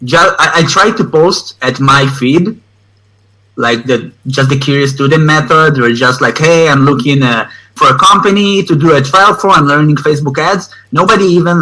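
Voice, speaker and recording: Bright-voiced man with an Israeli accent, emphatic. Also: a little high, normal speed, rough recording. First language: not English, which is a third language. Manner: emphatic, repeats phrases for stress. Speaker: interview guest, online advertising student. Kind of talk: informal interview testimonial.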